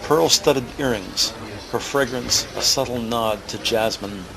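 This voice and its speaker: Creaky-voiced male drama narrator. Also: mid-range voice, unhurried, background noise. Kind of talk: scripted present-tense scene narration.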